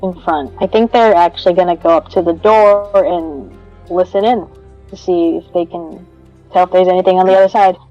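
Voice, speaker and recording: A woman's voice: fairly low pitch, steady tone, moderate pace, warm tone. Woman playing a tabletop game, slightly dull audio. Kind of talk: casual tabletop roleplay. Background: music.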